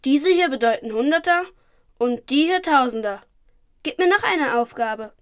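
A woman's voice: high voice, expressive, normal speed, fairly bright tone, dry recording, studio quality. A female performer in a radio drama voicing an eager young boy, a pupil thirsty for knowledge. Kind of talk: German radio drama acting.

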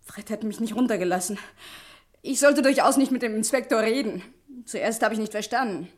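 A woman's voice: a little high, fairly quick, natural voice. An actress playing a distraught, devoted woman with an emotional, dramatic manner in a vintage radio crime play. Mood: distraught, pleading. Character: distraught, devoted woman pleading with her lover.

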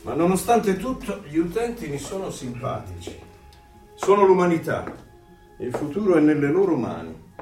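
A man's voice: fairly high pitch, very animated, normal speed, darkish tone. A man acting an earnest, reflective dissident voicing secret thoughts in a radio drama. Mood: hopeful. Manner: reflective, intense. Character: earnest, brooding male dissident narrating his secret thoughts. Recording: echoey, distant over music.

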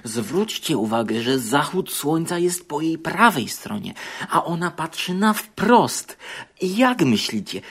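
A man's voice: on the high side, a bit bright, husky. A man giving comic opinion commentary as a podcast guest, theatrical and mock-earnest, addressing listeners in exclamations.

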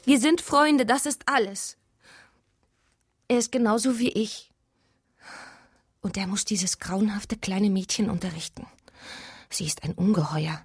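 An actress playing a defensive, wounded young widow under suspicion in a German radio crime drama, with a plaintive, emotional delivery. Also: female voice, mid-range voice, measured pace, pauses often, slightly rough, no echo, studio quality.

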